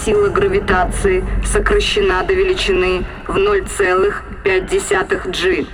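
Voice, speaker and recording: An actress playing a spaceship's female computer in an audio drama, making measured, formal system announcements. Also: medium pitch, level tone, music in the background, close to an everyday voice, rough recording. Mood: calm, procedural. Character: female ship's computer "Mama", calm, obedient, precise.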